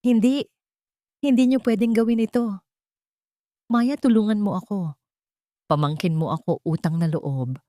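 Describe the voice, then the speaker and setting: A woman's voice: medium pitch, unhurried, pauses often, warm tone, no room sound, voice pushed up, lightly acted. A woman narrator acts out a self-serving Filipino aunt in an audio story. She pleads urgently in a raised, desperate woman's voice, recorded dry. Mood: desperate, pleading.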